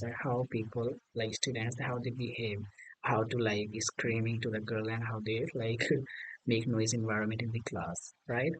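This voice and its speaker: A man's voice: mid-range voice, poor audio. A man with an Indian accent practicing English in a casual video call, hesitant and full of "like" fillers. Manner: hesitant, many "like" fillers, laughs easily.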